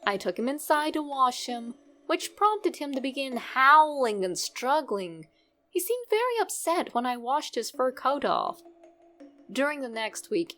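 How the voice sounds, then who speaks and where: Female horror-story narrator, higher register, expressive delivery with dramatic pauses, music bed underneath. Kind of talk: scripted creepypasta narration.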